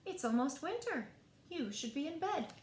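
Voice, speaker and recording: A woman's voice: high voice, very animated, normal speed, darkish tone, voice pushed up. A woman voicing a caring Halloween witch in a children's storybook, scolding in a motherly way. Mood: surprised, scolding. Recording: echoey room, faint background noise.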